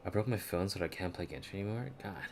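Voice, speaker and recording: Low-voiced man chatting on a game stream. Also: fast talker, over music. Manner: slangy, informal. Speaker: live streamer. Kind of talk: casual gaming stream chat.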